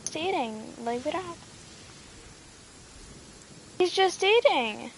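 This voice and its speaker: A woman's voice: very high-pitched, expressive, slow, a little hiss or hum, poor audio. A woman streamer giving laid-back, joking commentary over a video game. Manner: laid-back, teasing slang. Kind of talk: casual gameplay commentary.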